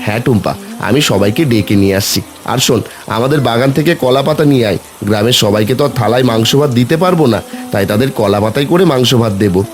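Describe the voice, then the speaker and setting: A man's voice: mid-range voice, quick pace, noisy background, natural voice. A man voicing a kindly village father, earnest and reassuring, in a children's fairy tale cartoon.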